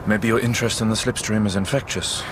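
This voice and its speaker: An actor playing a proud, reluctant captured German pilot with a German accent, measured and earnest, in a radio drama. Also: male voice, fairly low pitch, steady tone, background noise, lightly acted. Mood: guarded, reserved. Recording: radio drama with loud vehicle ambience.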